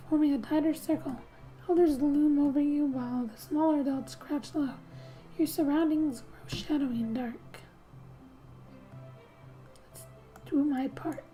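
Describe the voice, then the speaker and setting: High, soft-spoken woman reading in ASMR style. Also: low-quality recording. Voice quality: soft, breathy, often whispery. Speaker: gaming ASMR host.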